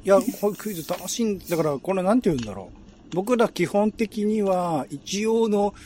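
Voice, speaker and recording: A man with a high, very animated voice hosts a casual chat show. He talks informally, explaining and asking, over slight background noise. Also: normal speed. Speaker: podcast host.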